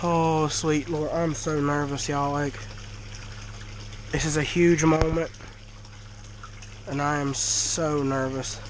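Congested man, slow drawl, slightly high voice, faint background hum, body-worn camera mic. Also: flat delivery. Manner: folksy, chatty. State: congested, talking through a blocked nose. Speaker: fish-keeping vlogger. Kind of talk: casual vlog to camera. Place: dim cinder-block garage or shed, indoors. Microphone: action camera worn by the speaker.

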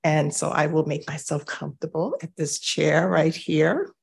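A middle-aged woman moderating a reading circle, speaking slowly and measuredly with self-deprecating asides, filmed from afar in a bright gallery room. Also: sits low, steady tone, gravelly, slightly rough. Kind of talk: live event introduction and moderated conversation. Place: bright wooden-floored gallery room, indoors.

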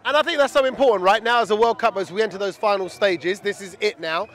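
A middle-aged man working as a fan-channel reporter, chatting in an animated way as he interviews sports fans on a handheld microphone outdoors. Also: high voice, quick pace, slight background noise. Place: outdoors in a busy street outside a stadium.

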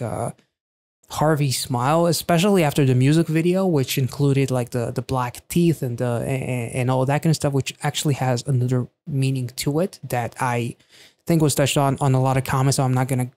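A man in his thirties giving casual reaction commentary, thinking aloud in a halting, emphatic way, close to a studio microphone in a small room. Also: medium pitch, steady tone, darkish tone. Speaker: reaction video host. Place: small indoor home studio. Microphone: close studio microphone on a stand.

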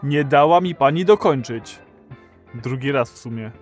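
An actor playing an earnest, rebellious citizen of a dystopian future in a radio play. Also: male voice, medium pitch, animated, moderate pace, soft background music, lightly acted. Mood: guarded. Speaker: actor in an audio drama.

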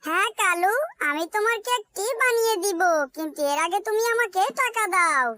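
A woman's voice: very high voice, animated, normal speed, bright, forceful tone, dry recording, exaggerated voice. A woman voicing a small, honest bird who bakes, with an earnest, childlike manner in a children's cartoon. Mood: cheerful. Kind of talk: children's animated cartoon story dialogue.

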